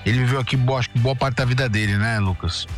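Brazilian male host, mid-pitched, halting interviewer, faint music bed. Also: fairly even intonation. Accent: Brazilian Portuguese. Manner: hesitant, many restarts and fillers. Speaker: podcast host. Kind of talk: interviewing a guest.

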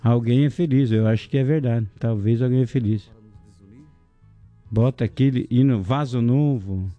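A man hosting a casual local radio show, jokey and chatty, giving shout-outs to listeners and sponsors. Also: medium pitch, normal speed, muffled recording, soft background music. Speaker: radio host.

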